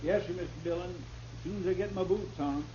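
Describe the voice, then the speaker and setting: An American actor playing an eager, polite, slightly hesitant marshal's helper in a radio western. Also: male voice, sits high, brisk, dark tone, lightly acted. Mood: sheepish. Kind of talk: 1950s radio western acting. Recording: muffled, noisy old radio recording.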